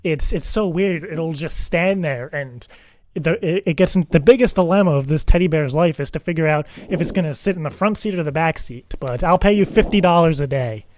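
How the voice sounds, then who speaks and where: Man playing a higher-voiced, earnest, rambling dad. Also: a little mellow, lightly acted. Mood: anxious, insistent. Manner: halting, repetitive, rambling, earnest. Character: earnest, anxious divorced dad seeking a teddy-bear sitter. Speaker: prank caller. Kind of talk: improvised prank phone call.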